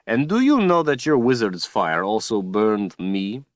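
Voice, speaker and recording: A man's voice: fairly low pitch, big pitch swings, measured pace, dry recording, voice pushed up. A man voicing a cruel male tyrant who speaks in a silky, taunting, menacing way. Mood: bitter.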